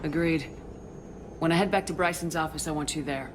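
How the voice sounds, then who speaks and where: An actress playing a tough, no-nonsense space military commander in a video game, speaking in a blunt, commanding way. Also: female voice, sits low, fairly quick, noisy background, natural voice. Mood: firm, commanding.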